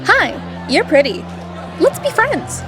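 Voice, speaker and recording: A woman's voice: very high voice, big pitch swings, slow pace, music in the background, heavily acted. A woman playing a haughty, coquettish rich schoolgirl with affected manners in a radio drama. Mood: haughty, breezy. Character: snooty, coquettish rich junior-high princess.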